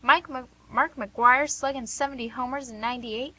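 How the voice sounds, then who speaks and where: Woman acting a bright, high-voiced young questioner. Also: fairly even intonation, normal speed. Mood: incredulous, teasing. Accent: American. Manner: questioning, conversational. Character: young, inquisitive, gently probing female listener. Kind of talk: radio play acting.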